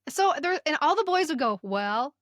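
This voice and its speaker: A middle-aged American woman co-hosting a podcast, chatting casually and reading aloud with expressive emphasis. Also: sits high, normal speed, dry recording, studio quality. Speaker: podcast co-host. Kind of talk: casual chat with animated reading aloud of old notes.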